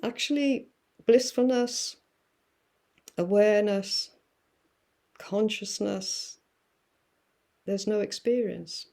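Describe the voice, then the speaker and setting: A woman guest musing through spiritual ideas, with many fillers and pauses. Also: medium pitch, gravelly, warm tone. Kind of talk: reflective spiritual talk.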